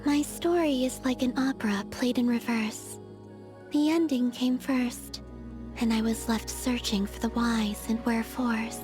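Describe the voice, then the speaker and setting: Actress as lonely, otherworldly young moon maiden for a game: high, soft, breathy at times, gentle and wistful, music underneath. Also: female voice, steady tone, measured pace, close to an everyday voice. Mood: reflective. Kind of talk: scripted video game character dialogue. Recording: studio voice over background music.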